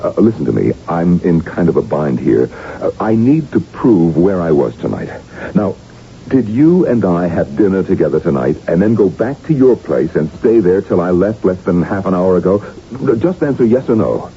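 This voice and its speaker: A man's voice: sing-song, normal speed. A male actor playing an exasperated, earnest doctor and loyal friend in a 1980s radio drama, reasoning emphatically. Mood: brisk, defensive. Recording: dull, rumbling, noisy old radio recording.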